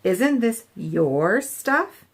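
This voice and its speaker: A woman's voice: medium pitch, big pitch swings, very slow, breathy edge, full character voice. A woman voicing young dinosaur classmates in a storybook, exclaiming with stretched-out words. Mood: surprised, welcoming. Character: group of young dinosaur classmates, wary of the bully.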